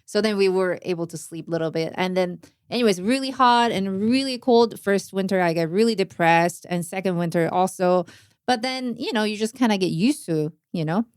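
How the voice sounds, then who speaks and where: A woman's voice: medium pitch, fairly quick, smooth, dry recording, clean studio sound. A woman from Korea, a native Korean speaker, chatting casually in an interview as a jazz drummer, with many fillers and non-native phrasing.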